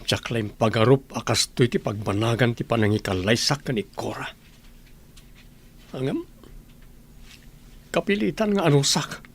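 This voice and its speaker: Man acting a husky, brooding village man. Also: mid-range voice, expressive, unhurried, long pauses. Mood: brooding, bitter. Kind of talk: Ilocano radio drama acting. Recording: music under the voice.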